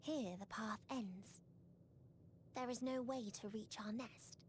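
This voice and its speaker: Despairing woman, video game cutscene, grave formal delivery, slow, partly whispered, faint noise. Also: on the high side. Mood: bleak, resigned. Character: solemn, despairing woman speaking of hopelessness.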